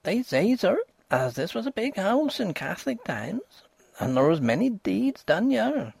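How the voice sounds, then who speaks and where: A man reading an audiobook, voicing a placid, superstitious old peasant housekeeper in rustic dialect, with a husky voice. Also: sits high, sing-song, unhurried, darkish tone, no room sound, pitched above the performer's own voice, exaggerated voice. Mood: ominous. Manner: rustic dialect grammar, grave and ominous. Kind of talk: audiobook character voice.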